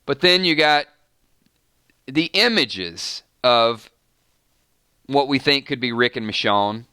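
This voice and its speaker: A man hosting a casual fan live stream, his voice bright and forward with a smooth, clean tone. He keeps cutting himself off to react to the chat, tossing out "hold on" and "wait a minute" as he goes. The sound is close and dry, with no room around it. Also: medium pitch, slow pace, no room sound. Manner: animated, breaks off mid-sentence with repeated "hold on" and "wait a minute". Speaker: fan channel host.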